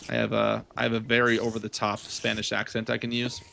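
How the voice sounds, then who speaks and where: Young adult male, game streamer; forward, bright tone, loose rambling delivery, some noise behind the voice. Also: fairly low pitch, monotone, a little fast, vocal fry. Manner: rambling, thinking aloud. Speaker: livestream gamer host. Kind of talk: casual chat while gaming, answering chat.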